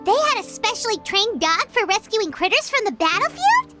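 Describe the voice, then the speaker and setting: Squeaky, excitable little fairy girl. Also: normal speed, over loud music. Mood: questioning. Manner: exclamatory, refers to self in third person. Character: small childlike female fairy companion, excitable and cheeky. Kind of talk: video game character dialogue.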